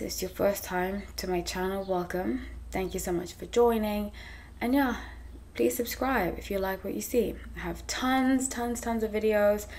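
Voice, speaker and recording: A woman's voice: medium pitch, darkish tone, slight background noise. A woman in her thirties from New York, raised in South Africa and England, hosting a tarot channel and chatting casually to camera, a bit tired and hot, with lots of fillers and restarts. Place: small room indoors.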